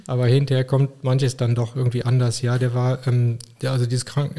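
A middle-aged man from Germany, a physician and podcast guest, talking in a relaxed, thoughtful way with frequent hesitations. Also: mid-range voice. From Koblenz, Germany. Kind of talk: casual interview talk.

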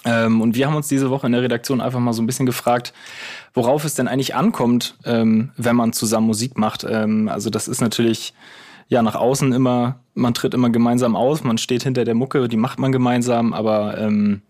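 A man's voice: medium pitch, fairly even intonation, brisk, darkish tone. A man co-hosting a podcast, introducing and explaining things in casual conversational speech. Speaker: podcast co-host.

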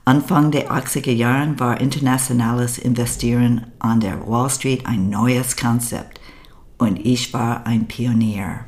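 An older American woman with an American accent, a native English speaker, hosting a podcast in a measured, scripted monologue. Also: very deep voice, steady tone, a little mellow. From United States. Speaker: podcast host.

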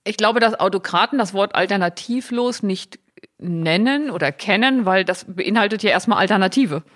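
A woman co-hosts a podcast in relaxed conversation. She is playful and quick-witted, tossing in teasing remarks. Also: medium pitch. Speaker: podcast co-host. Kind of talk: casual chat.

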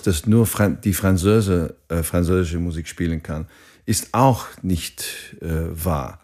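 A man. He speaks very slowly as an interview guest, reflecting haltingly and searching for words in a language that is not his first. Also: deep voice, a little mellow. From England. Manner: halting, searching for words in non-native speech.

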